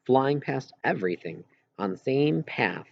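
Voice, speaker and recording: A man reading an audiobook, voicing an earnest boy who eagerly explains things and has a quietly wistful streak. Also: medium pitch, animated, a little slow, vocal fry, highs cut off, slight background noise. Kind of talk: audiobook character dialogue.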